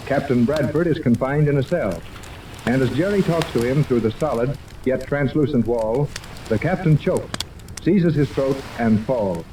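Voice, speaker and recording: A man's voice: medium pitch, animated, moderate pace. A man announcing in 1930s radio serial style, narrating formally and dramatically. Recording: distant, noisy 1930s radio recording.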